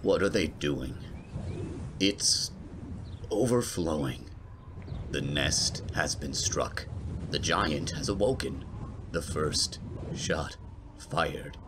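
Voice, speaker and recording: Male actor as brooding prophet of doom: extremely low, slow, grave, over noisy ambience. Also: expressive, heavily acted. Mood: foreboding. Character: ominous brooding man foretelling doom. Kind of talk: dramatic scripted monologue. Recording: clearly noisy background ambience.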